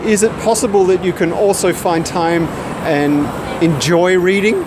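An interviewer asking calm, plain follow-up questions in a street interview. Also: medium pitch, moderate pace. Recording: traffic noise outdoors.

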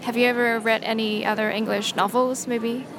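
A woman's voice: fairly high pitch, flat delivery, moderate pace, crowd noise. A woman interviewing, asking prompting follow-up questions. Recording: noticeable background noise.

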